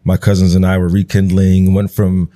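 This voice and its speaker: Soft-toned Nigerian-born man reflecting. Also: low-pitched, monotone, normal speed. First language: Igbo. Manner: reflective, loose, full of "like" and "you know". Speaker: guest. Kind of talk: conversational interview.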